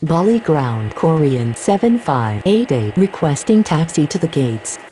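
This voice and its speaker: A woman's voice: low-pitched, sing-song, measured pace. A woman playing a formal pilot and controller in a game, using clipped radio phraseology. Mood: businesslike. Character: formal female airline pilot and air traffic controller. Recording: loud hiss and vehicle noise.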